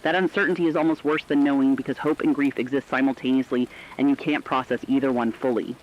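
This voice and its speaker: A man's voice: mid-range voice, fairly even intonation, quick pace, highs cut off, close to an everyday voice. A man playing a troubled, earnest co-host in an apocalypse radio drama, speaking solemnly and reflectively. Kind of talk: scripted dramatic radio commentary.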